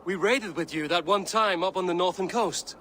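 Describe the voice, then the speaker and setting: A man's voice: high-pitched, moderate pace, sharp tone, slight background noise. An actor playing a stern, blunt male Viking warrior in a video game cutscene. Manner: blunt, stern, honor-bound.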